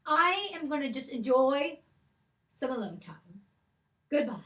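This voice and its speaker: A woman's voice: high-pitched, expressive, a little slow, pushed and bright, recorded from a distance, full character voice. A woman voicing a brave, clever, independent girl in a children's puppet show, spirited and sassy. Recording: voice from off the mic.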